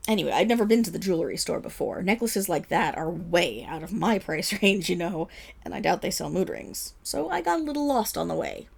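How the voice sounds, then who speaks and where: A woman acts out a boastful, flustered female superhero at a press conference in an audio roleplay. Her voice is raspy with some fry and swings widely in pitch. She rambles dramatically and defensively, like someone pushed into apologizing. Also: big pitch swings, brisk, full character voice. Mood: breezy, boastful. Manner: rambling, defensive, dramatic storytelling with nervous laughs.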